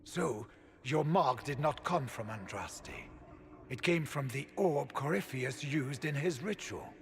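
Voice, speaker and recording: A man playing an earnest, grave warrior of a fantasy order, speaking with measured care in a game cutscene. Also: mid-range voice, expressive, creaky. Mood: grave, thoughtful. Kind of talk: video game cutscene acting.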